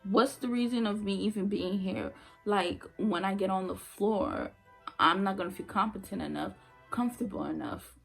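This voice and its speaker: A woman's voice: mid-range voice, normal speed, creaky, slightly rough, faint music behind. A young woman, a former nursing assistant, telling her story in a casual vlog, blunt and emphatic, repeating her points for effect.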